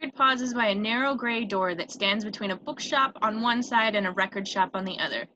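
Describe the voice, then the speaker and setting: A woman's voice: mid-range voice, fairly quick, bright, forceful tone. A woman reading scripted screenplay stage directions as narrator, on a dull, noisy home recording.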